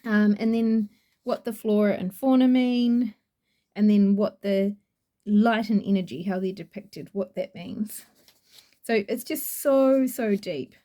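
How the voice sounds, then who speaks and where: A woman's voice: mid-range voice, slow, dark tone. A woman with a New Zealand accent reviewing an oracle deck in a chatty, gushing way, rambling with fillers. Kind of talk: casual product review.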